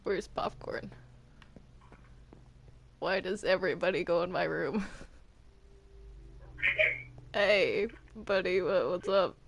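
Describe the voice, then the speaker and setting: A woman's voice: fairly low pitch, takes it slowly, vocal fry, long pauses, a little hiss or hum. A woman chatting casually in a roleplay game, hesitant and often repeating her words. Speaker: player in a Minecraft roleplay.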